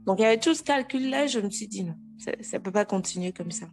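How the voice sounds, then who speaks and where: A woman's voice: mid-range voice, quick pace, creaky, faint music behind. A woman in her thirties with a Togolese French accent, a guest telling her own story frankly and with animation. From Togo. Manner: frank and animated, with frequent restarts. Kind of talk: casual interview.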